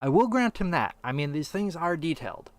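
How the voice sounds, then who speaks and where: A man's voice: fairly high pitch, sing-song, brisk, no room sound. Man hosting a casual podcast. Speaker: podcast host. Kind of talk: casual discussion and commentary.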